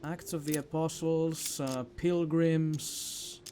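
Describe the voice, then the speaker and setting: A man's voice: on the high side, little pitch movement, slow, warm tone, faint music behind. A man hosting a gaming video, thinking aloud and grumbling in casual commentary as he plays.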